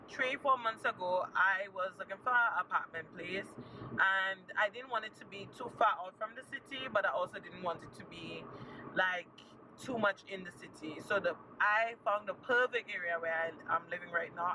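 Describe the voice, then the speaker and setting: A young woman from Trinidad and Tobago, chatting upbeat to camera and repeating words for emphasis as she gives encouraging advice. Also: mid-range voice. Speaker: vlogger. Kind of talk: casual chat to camera. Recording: distant mic with loud background noise.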